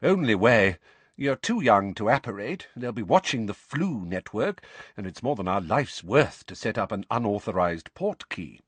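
A man voicing a mild, courteous wizard mentor in a storybook, calm and explanatory in manner. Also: lowish voice, normal speed, slightly rough, dry recording. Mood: practical.

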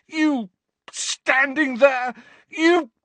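A man voicing a grieving teenage boy wizard who shouts with his voice shaking in rage. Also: extremely high pitch, extremely slow, dry recording, voice pushed up, full character voice. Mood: furious.

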